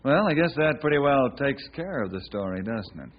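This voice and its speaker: Actor as husky, steady old-radio ranger hero. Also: male voice, mid-range voice, normal speed, natural voice. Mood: probing, thoughtful. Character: steady, kindly forest ranger hero, sharp-minded. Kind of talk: old-time radio western acting. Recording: muffled old-time radio recording.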